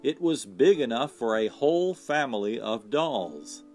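A man reads a children's picture book aloud at a slow pace. His pitch rises and falls expressively, and soft guitar music plays behind him. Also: very animated. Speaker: storyteller. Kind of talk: children's storybook read-aloud.

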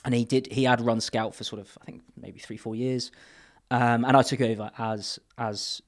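A man in his thirties from England sits in a podcast studio and talks into a boom mic. He rambles through his answers with restarts and fillers. His phrases often trail off quietly. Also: fairly even intonation, normal speed. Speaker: podcast guest. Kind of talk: casual interview chat. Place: podcast studio with brick wall. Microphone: boom mic on arm.

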